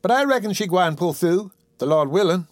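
A man voicing a stout, animated, devout woman caretaker in folksy Southern dialect for a storybook reading. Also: sits high, a little fast, dry recording, pitched above the performer's own voice. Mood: devout, firm.

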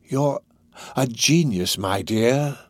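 Storybook villain, played by a male narrator: older man, sly fence, slow coaxing delivery. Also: medium pitch, animated, no room sound. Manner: slow, drawn-out, ingratiating.

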